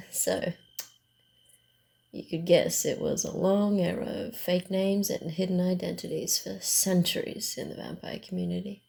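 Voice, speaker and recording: A woman voicing a sly, guarded, secretive female vampire in a hushed, theatrical and deliberate ASMR roleplay style. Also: fairly low pitch, level tone, gravelly. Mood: ominous storytelling.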